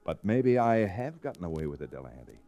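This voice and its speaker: Frontier lawyer hero: lowish voice, swinging intonation, brisk, wry, confident, vintage radio Western style. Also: male voice, big pitch swings, mellow. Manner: brisk, emphatic, sardonic. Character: wry, confident young cowboy lawyer, quick to fight. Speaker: lead actor and first-person narrator. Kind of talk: old-time radio Western acting.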